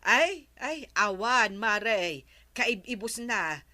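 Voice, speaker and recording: A woman's voice: fairly high pitch, animated, slow pace, sharp tone, full character voice. An actress playing a defensive, chatty village woman with an Ilocano Filipino accent and a plaintive way of protesting in a radio comedy drama.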